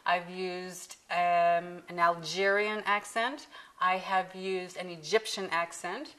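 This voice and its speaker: Bright-voiced woman accent coach, low pitch. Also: fairly even intonation, slow pace. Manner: patient teacher, demonstrates sounds. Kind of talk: casual interview explanation.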